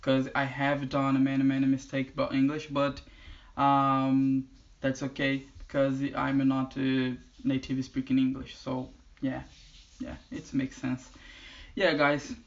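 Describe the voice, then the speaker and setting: A man from Brazil, speaking English with a Brazilian Portuguese accent as a non-native speaker, talking casually to camera with halting phrases and frequent restarts. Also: medium pitch, completely flat delivery, gravelly, dull audio. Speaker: channel host. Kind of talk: casual vlog talk. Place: indoors in the UK.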